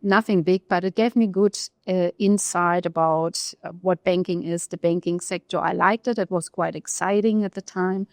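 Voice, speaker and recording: A woman with a German accent, a research economist telling her career story in an interview, pausing often with fillers. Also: on the low side, steady tone, a little mellow, dry recording, studio quality. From East Germany. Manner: thoughtful, pauses often with fillers.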